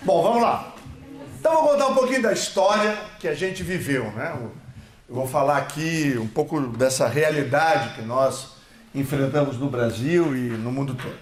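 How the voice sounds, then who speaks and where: A man's voice: fairly high pitch, moderate pace, faint music behind. A man with a Brazilian Portuguese accent, giving an emphatic political seminar speech with slides, his voice swelling and dropping. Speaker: seminar speaker. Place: lecture hall. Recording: echoey hall.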